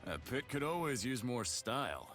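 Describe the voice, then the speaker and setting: A man's voice: medium pitch, big pitch swings, moderate pace, a bit bright. A man playing a cocky, playful young arena brawler in a video game. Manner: swaggering, playful. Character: cocky young male pit brawler and showman. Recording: music behind the voice.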